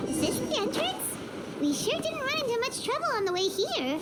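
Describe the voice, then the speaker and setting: A woman voicing a small, childlike fairy companion in a video game, naive and earnest, with a squeaky voice. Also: big pitch swings, normal speed, very noisy. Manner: speaks of self in third person. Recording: music behind the voice.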